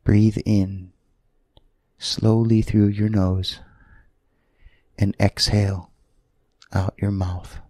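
A man leading a guided sleep meditation in a soothing, gentle manner, leaving long pauses between short phrases. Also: lowish voice, flat delivery, no echo.

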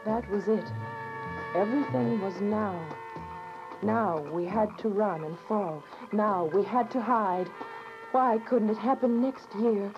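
A woman's voice: medium pitch, slow. Young woman fugitive played by an actress, radio drama; earnest, literary, fearful. Dull recording over prominent music. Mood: solemn, tense. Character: frightened, devout young woman fugitive telling her story. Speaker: narrator and lead actor. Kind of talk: dramatic first-person radio drama narration. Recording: dull, lo-fi audio with music behind.

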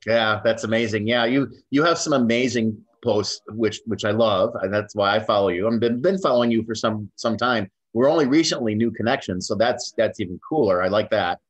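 A middle-aged man hosting an interview over a video call from a room full of bookshelves, talking in a friendly, slow way with many fillers. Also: lowish voice, breathy edge. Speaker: host. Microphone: webcam on video call. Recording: dull lo-fi video call audio.

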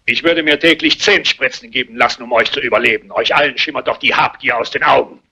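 Irascible old patriarch: fast, husky, scornful blustering for a crime drama. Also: male voice, medium pitch, sharp tone, full character voice. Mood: spiteful. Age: older. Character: irascible old family patriarch, spiteful and contemptuous. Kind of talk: German crime film dubbing, theatrical dialogue.

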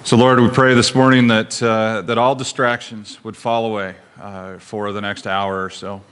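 A middle-aged man leading spontaneous prayer in church, with a halting, measured delivery. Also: on the low side, little pitch movement, fairly bright tone, clean tone. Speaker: prayer leader at a church service. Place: church sanctuary, indoors.

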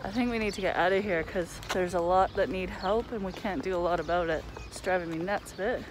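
A young woman with a somewhat low voice talks to a camera held at arm's length. She is chatty and playful, and a faint noise runs underneath. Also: a little fast. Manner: chatty, joking narration. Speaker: sailing vlogger. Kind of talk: casual vlog chat. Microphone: selfie camera at arm's length.

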